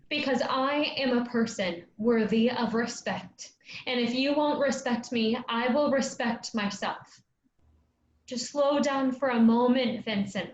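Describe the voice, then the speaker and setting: An earnest young woman of the stage acted by a woman, with a high voice and unhurried, deliberate lines. She is heard in an echoey, noisy room at a staged play reading. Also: highs cut off. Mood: firm. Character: Madge, earnest young woman, travelling player finding her voice.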